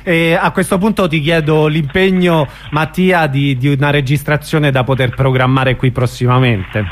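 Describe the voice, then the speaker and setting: A man's voice: a little high, fairly even intonation, normal speed, slight background noise. A man interviewing a guest on the radio in an informal, familiar way.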